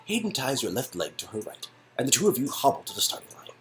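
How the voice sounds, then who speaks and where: A man's voice: mid-range voice, huge pitch swings, quick pace, faint music behind. A man reading an interactive story game aloud in a casual, jokey way, with goofy ad-libs. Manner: jokey, goofy, prone to ad-libbing. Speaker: co-reader performing a visual novel game. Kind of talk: casual, playful read-aloud with improvised asides.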